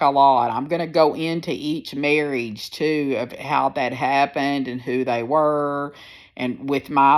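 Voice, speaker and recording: A woman in her sixties with a deep, firm voice, talking candidly and rambling a little as she speaks to her viewers into a phone. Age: 60s. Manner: candid, rambling, plain-spoken. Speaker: personal vlogger. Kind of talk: casual personal storytelling to viewers.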